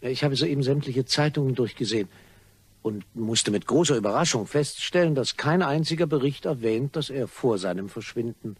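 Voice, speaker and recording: A man acting in a German crime radio play as a sly gentleman blackmailer, husky, probing and mock-courteous. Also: mid-range voice, lively intonation, normal speed. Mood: smoothly insinuating. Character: sly, insinuating gentleman blackmailer, smoothly polite.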